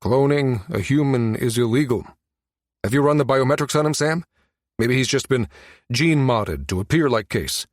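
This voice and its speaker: A man voicing a stern, easily outraged national security director in an audiobook, clipped and forceful. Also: medium pitch, normal speed, no echo, studio quality, natural voice. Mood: disbelieving.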